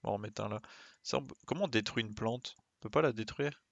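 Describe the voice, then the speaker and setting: Low-voiced man muttering through a game stream. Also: fairly quick, vocal fry, fairly bright tone, no room sound, lo-fi sound. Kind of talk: casual live gameplay commentary.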